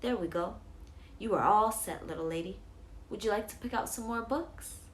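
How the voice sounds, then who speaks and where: A kindly middle-aged library clerk from a storybook, played by a woman, polite and friendly. The pitch is lifted, with faint noise behind. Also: normal speed, natural voice.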